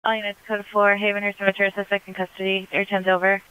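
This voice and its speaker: A woman's voice: mid-range voice, monotone, fast talker, clean tone, narrow, tinny audio. A woman working as a police dispatcher, giving clipped calls over a radio. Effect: police radio transmission.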